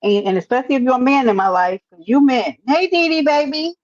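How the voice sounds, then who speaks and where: A woman's voice: sits high, animated, quick pace, highs cut off. A woman with a New York accent hosting a casual livestream chat, calling people baby and honey as she talks. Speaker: livestream host.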